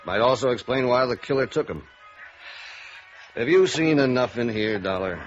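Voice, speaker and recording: An actor playing a guarded, middle-aged American police sergeant in 1950s radio noir, clipped and deadpan. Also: male voice, medium pitch, moderate pace, lightly acted. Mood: businesslike, dry. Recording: dull vintage radio recording, faint music.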